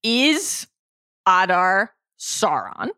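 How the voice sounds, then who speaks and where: A woman co-hosting a podcast in casual chat, excitable and laughing, her voice jumping up when amused. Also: extremely slow, clear, resonant tone, no echo, clean studio sound. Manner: excitable, laughing, jumps up in pitch. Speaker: podcast co-host.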